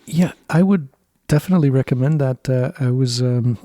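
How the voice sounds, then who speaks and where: A man hosting a podcast, a native Turkish speaker, who talks in a reflective, measured way. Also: medium pitch, warm tone, dry recording, studio quality. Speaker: podcast host. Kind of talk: casual conversation.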